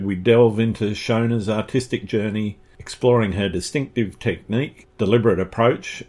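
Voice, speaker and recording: A man hosts an interview podcast in an Australian accent. His voice sits fairly low and has a husky grain to it. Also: a little mellow. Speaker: podcast host. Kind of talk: scripted introduction and interview chat.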